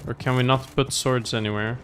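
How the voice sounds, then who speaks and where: A man's voice: mid-range voice, level tone, brisk, fairly bright tone. A man doing casual, upbeat gameplay commentary as a streamer, thinking aloud as he goes. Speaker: game streamer. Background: faint game music.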